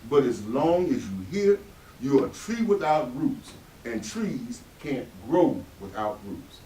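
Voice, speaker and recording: A man's voice: medium pitch, very animated, slow, dark tone, a little hiss or hum. A man with a Southern American accent playing an embittered, protective father in a stage reading, in emphatic folksy speech. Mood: earnest, tender. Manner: emphatic, folksy vernacular speech with dramatic swells. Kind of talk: stage readers theatre acting. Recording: echoey room, distant stage microphone.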